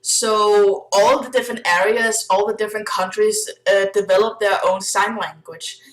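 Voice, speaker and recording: A young woman with a Danish accent talks casually to the camera, full of ums and little laughs. Her voice sits at an ordinary pitch for a woman. The room around her is noticeably echoey. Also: steady tone, moderate pace. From Denmark. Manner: chatty, giggly, many fillers. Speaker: vlogger. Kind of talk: casual vlog chat.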